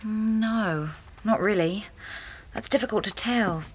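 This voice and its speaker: Young wife in her twenties, medium pitch, earnest, puzzled, a little hesitant, radio drama. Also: slow. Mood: uncertain. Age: 20s.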